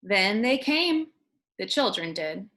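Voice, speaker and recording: A woman, a kindergarten teacher, reading a children's storybook aloud expressively and deliberately to young listeners. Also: mid-range voice, big pitch swings, bright, forceful tone.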